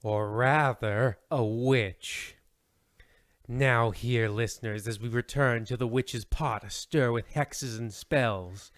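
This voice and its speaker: A man's voice: medium pitch, slow, no echo, clean studio sound, natural voice. Portentous old-time radio host played by a man. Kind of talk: mock vintage radio mystery narration.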